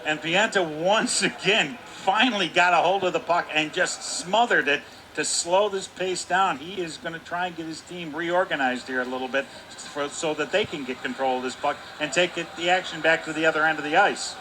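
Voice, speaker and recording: A man adding color commentary to a hockey radio call in a bright, slightly high voice. He talks through strategy in a measured, conversational way. Faint arena noise stays in the background. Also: poor audio. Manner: measured, explanatory, with fillers like "kinda".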